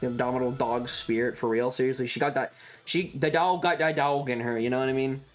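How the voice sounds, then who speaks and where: A man's voice: medium pitch, steady tone, fast. Sweary man rambling over music. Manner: sweary, exasperated, acts out reported dialogue. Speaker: streamer. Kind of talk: casual rambling storytelling on a livestream.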